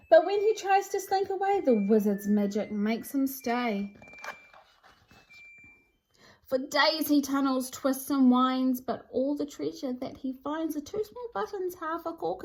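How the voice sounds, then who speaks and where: High-voiced woman reading a children's story, slowly. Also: darkish tone, rough recording. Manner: theatrical, sing-song rhyming delivery. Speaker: storybook reader and presenter. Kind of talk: expressive children's read-aloud.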